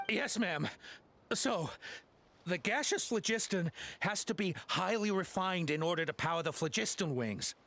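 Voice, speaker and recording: A man's voice: sits high, expressive, normal speed, fairly bright tone. A man playing a nervous, stammering video game henchman who pleads as he confesses. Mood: anxious explaining. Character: nervous male henchman confessing under pressure. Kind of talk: video game dialogue acting.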